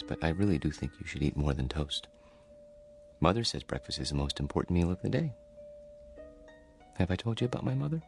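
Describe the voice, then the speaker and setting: An actor playing a timid, sheltered, lonely man in his thirties in a radio drama, with a creaky voice and a hesitant, meek, halting delivery. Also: deep voice, a little mellow. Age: 30s.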